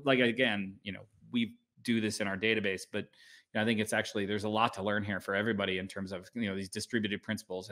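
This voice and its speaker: A man's voice: lowish voice, a bit bright. A middle-aged man giving a casual technical talk over slides on a video call from a room at home, often restarting and adding "right?". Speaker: guest speaker at a developer meetup.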